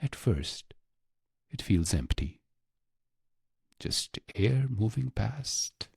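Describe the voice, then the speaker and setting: A man narrating a scripted guided meditation in a hushed, breathy voice, speaking in short phrases with long pauses. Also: big pitch swings, no echo, studio quality. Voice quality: low, breathy, husky voice with creak.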